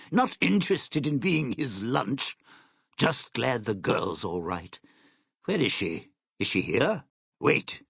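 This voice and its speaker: An actor playing a sly, bitter, scheming lounge singer in a video game, with a raspy, gravelly voice and a wry, smooth-talking, theatrical manner. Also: male voice, on the high side, dry recording, studio quality, full character voice. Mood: guarded, scheming. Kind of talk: video game character dialogue.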